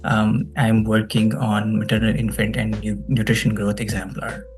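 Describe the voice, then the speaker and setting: A man's voice: lowish voice, monotone, moderate pace, faint music behind. A man with a Pakistani accent speaking as an interview guest, explaining things conversationally and checking in with "right?". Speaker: guest, global health researcher.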